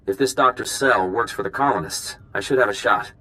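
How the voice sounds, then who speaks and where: A man playing a brisk, earnest spy operative in a video game, with clipped, informal phrasing. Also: lowish voice, bright, forceful tone, smooth, rough recording. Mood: brisk, wary.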